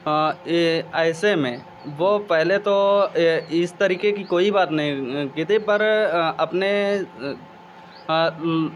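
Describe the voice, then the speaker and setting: A man's voice: fairly high pitch, extremely slow, slight background noise. A young male student answering outdoors, hesitant and searching for words. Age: young adult. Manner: hesitant, many fillers and restarts. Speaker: law student interviewee. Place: outdoors in a village.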